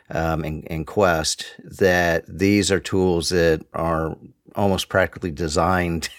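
A man's voice: low-pitched, no echo, clean studio sound. A man hosting a podcast in a conversational way, asking long questions made of several parts. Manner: conversational, asks long multi-part questions. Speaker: podcast host. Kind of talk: interview hosting.

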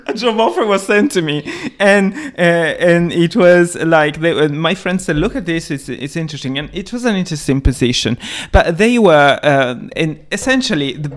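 A man's voice: fairly high pitch, animated, normal speed, fairly bright tone. A man whose first language is not English, co-hosting a casual discussion podcast and making emphatic points with frequent restarts. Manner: emphatic, restarts often, laughs while talking. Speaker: podcast co-host. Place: London.

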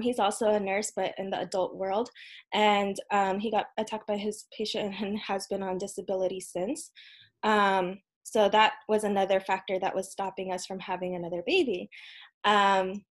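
A woman in her thirties, a podcast guest, telling a personal story in an earnest, casual way with frequent ums and soft laughs. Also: medium pitch, little pitch movement, normal speed. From United States. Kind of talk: casual interview conversation.

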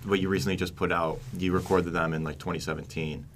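A young man with a fairly low, deep voice co-hosts a podcast. He asks questions in a casual, conversational way. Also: fairly even intonation, fast, a bit bright. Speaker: podcast co-host, interviewer.

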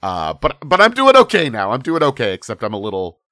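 Man, hoarse, game master, relaxed horror narration, lots of fillers, dry sound. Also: medium pitch, brisk, dry recording. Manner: relaxed, conversational, filler-heavy.

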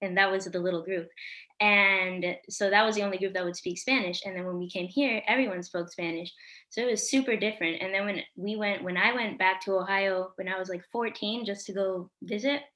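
A teenage girl co-hosts a podcast, chatting freely and filling her talk with "like." Her voice is bright and forward, at an everyday pitch. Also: steady tone, brisk, rough recording. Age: teens. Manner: chatty, lots of "like". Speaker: podcast co-host. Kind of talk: casual interview hosting.

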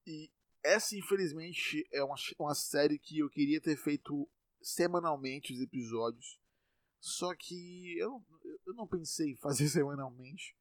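A man's voice: on the high side, measured pace, no room sound. A young man in his twenties from Salvador, with a Bahian accent, rambling casually with lots of swearing. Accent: Bahian Brazilian Portuguese. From Salvador, Brazil. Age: 20s. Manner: rambling, profane, self-mocking. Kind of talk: casual monologue.

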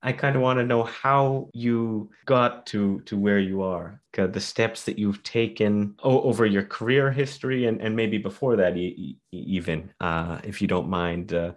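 Low-voiced man asking rambling questions. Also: measured pace, hardly any pauses. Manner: long, rambling questions with restarts. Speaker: podcast host. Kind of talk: interview questions.

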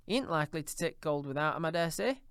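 A brazen, scheming old charwoman voiced by a man shrills and cackles through her defiant lines, pitched far above a natural speaking voice. The sound is close and dry, as in an audiobook booth. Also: expressive, fast, a bit bright, smooth, dry recording. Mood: mocking. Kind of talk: audiobook character reading.

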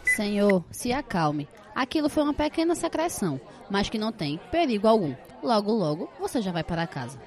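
A woman playing an animated, anxious nursing student. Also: mid-range voice, brisk, hardly any pauses, crowd noise, lightly acted. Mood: reassuring. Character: hurried, anxious young female nursing student. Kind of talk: amateur audio drama acting.

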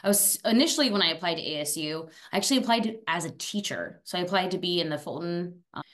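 A woman's voice: on the low side, expressive, quick pace, fairly bright tone. A young woman raised in France and then Arizona, chatting as a podcast guest in a talkative, upbeat and goofy way. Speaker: guest, alumna. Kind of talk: casual interview chat.

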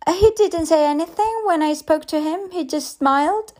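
A woman voicing a young female schoolteacher who talks in a bright, chatty way. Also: very high-pitched, moderate pace, pitched above the performer's own voice.

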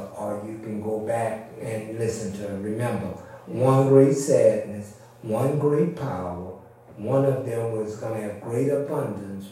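A man's voice: fairly low pitch, warm tone. A man preaching in a folksy, conversational way, repeating points for emphasis in a sermon style. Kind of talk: sermon teaching with repetition and calls for amen. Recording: very echoey room, distant mic.

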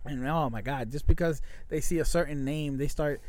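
A man's voice: on the high side, normal speed, darkish tone. A man co-hosting a casual podcast, speaking bluntly and restarting his sentences often. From United States. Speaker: podcast co-host.

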